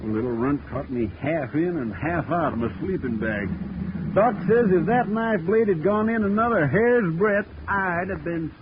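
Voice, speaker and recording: Actor as tough slangy circus hand, tinny radio. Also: male voice, fairly high pitch, animated, normal speed, lightly acted. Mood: aggrieved, gruff. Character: tough, gruff circus hand, a hard man to kill. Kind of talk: 1940s radio acting. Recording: tinny, noisy old radio broadcast.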